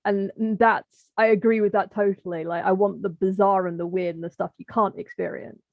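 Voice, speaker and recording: Creaky-voiced woman on slightly dull audio. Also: mid-range voice, fairly even intonation, normal speed, no echo. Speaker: podcast guest, writer. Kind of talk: casual chat, reflective.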